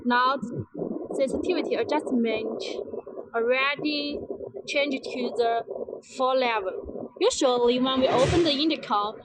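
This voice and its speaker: A woman giving a product demonstration outdoors near a road, in halting, simple phrasing with many pauses. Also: sits high. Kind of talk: product demo walkthrough. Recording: loud traffic noise outdoors.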